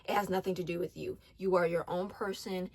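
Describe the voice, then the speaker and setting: Young woman around twenty, lowish voice, earnest faith talk, lots of ums and restarts. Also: steady tone, fairly quick, creaky, darkish tone, husky. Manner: earnest, repeats herself, many ums and restarts. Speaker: faith vlogger. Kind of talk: casual advice talk.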